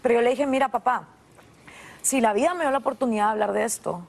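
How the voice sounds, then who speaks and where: A high-pitched woman in her thirties speaks with a Guatemalan Spanish accent. She tells her stories with animated asides, acting out what others said. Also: quick pace, gravelly. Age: 30s. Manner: animated storytelling with voiced asides. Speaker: guest. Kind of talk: interview conversation.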